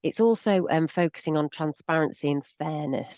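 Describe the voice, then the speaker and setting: A woman's voice: deep voice, fairly quick, no room sound. A woman with a slight cold, explaining a legal topic in a chatty, self-deprecating way on a video call Q&A with many restarts. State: has a cold. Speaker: solicitor. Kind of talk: webinar Q&A explanation. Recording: dry tinny video call audio.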